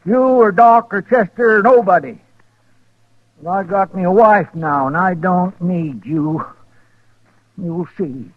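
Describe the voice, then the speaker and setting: An actor playing a lovestruck, stubborn rancher over sixty with a rural American West accent in an old-time radio western. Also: male voice, high voice, slow pace, pauses often, no room sound. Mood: indignant. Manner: folksy, indignant. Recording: dull vintage radio recording.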